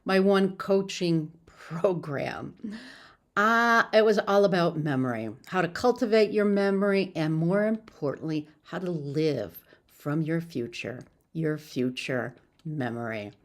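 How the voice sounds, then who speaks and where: A woman's voice: deep voice, a little slow. A woman giving an informal, encouraging motivational talk as a success coach, sometimes stumbling over her words.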